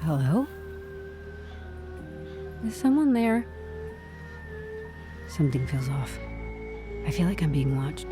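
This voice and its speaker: An actress playing a nervy, sarcastic young-to-the-job HR employee held captive, flustered and wisecracking, in a comedic audio drama. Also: female voice, sits low, sing-song, slow pace, long pauses, mellow, soft background music, heavily acted. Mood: uneasy.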